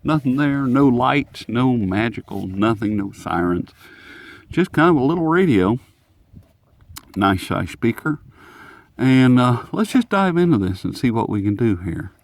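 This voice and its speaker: A man's voice: medium pitch, lively intonation, unhurried, gravelly, no echo. A man hosting a casual, unscripted radio review in a folksy, jokey, rambling manner. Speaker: host of radio review videos.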